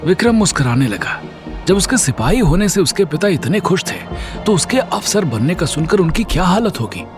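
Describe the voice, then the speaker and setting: A man's voice: high voice, very animated, normal speed. A man narrating a scripted audio drama series in a dramatic storytelling style. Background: music.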